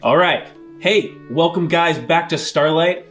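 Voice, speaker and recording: A man's voice: on the high side, measured pace, a bit bright, soft background music. A man hosting a game podcast as game master in a casual, conversational style.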